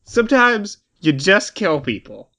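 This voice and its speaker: A man's voice: high voice, big pitch swings, measured pace, bright, forceful tone, a little hiss or hum. A man co-hosting a casual pop-culture podcast, talking in an opinionated, emphatic and rambling way. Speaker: podcast co-host.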